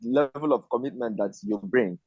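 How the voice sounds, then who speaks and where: A man's voice: medium pitch, moderate pace, breathy edge, dry recording. A man, a guest in a casual online discussion, talking hesitantly and searching for words. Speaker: panel guest. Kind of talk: casual discussion on a video call. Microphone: video call. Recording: dull lo-fi video call audio.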